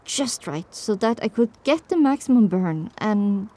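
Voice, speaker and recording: A woman's voice: mid-range voice, animated, a little slow, mellow. A woman narrating an audio drama in the first person with a dramatic, scripted delivery.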